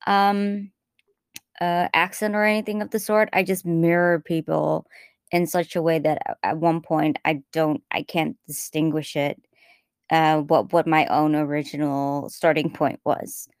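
A woman with an American-influenced English accent chatting on a podcast. Her voice sits a little low and sounds smooth and clear, recorded dry and close. She talks in loose, tangential runs, restarting sentences as she goes. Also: level tone, a little slow, no room sound. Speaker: podcast co-host. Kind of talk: casual podcast chat.

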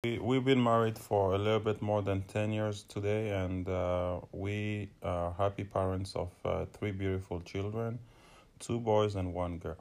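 A man from Togo with a low, gravelly voice. He speaks haltingly, filling pauses with "uh" and "um". The talk is a casual personal chat. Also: fairly even intonation, a little mellow. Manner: hesitant, many fillers. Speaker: interviewee, husband and father.

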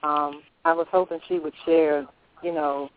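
A woman's voice: low voice, fairly even intonation, a little slow. A woman calling in to a radio show, enthusiastic and rambling with ums. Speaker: caller. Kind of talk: call-in radio. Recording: tinny phone line with crowd noise.

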